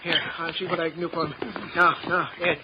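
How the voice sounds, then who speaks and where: A man voicing a hesitant, fussy doctor with a high voice for a man. He sits under steady hiss on an old radio drama. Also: a little fast, highs cut off, lightly acted. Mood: fussing. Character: fussy, cautious male doctor. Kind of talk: 1950s radio drama acting. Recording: hissy old radio recording.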